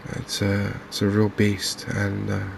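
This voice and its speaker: Slow, low-pitched, softly spoken man with gravelly creak. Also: completely flat delivery, background noise. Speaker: gaming channel host. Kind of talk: softly spoken ASMR game commentary.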